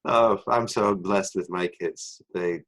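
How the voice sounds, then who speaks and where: A low-pitched man with a Polish-American accent hosts a live teaching webinar as a doctor. He talks haltingly, with frequent restarts and filler sounds as he gathers his thoughts. He laughs easily between points. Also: a bit bright.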